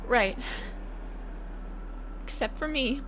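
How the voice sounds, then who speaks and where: Woman playing young heroine, high bright voice, wary, questioning, defiant, audio drama, faint background noise. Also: very slow, full character voice. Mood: uneasy, defiant. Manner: short, questioning replies. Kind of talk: scripted audio drama acting.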